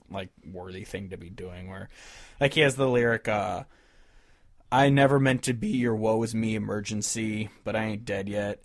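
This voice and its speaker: A man in his twenties with a fairly low voice, recorded dry and close. He talks as a podcast co-host in a casual, rambling way, with informal and sometimes profane wording. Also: no room sound. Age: 20s. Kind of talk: casual music discussion.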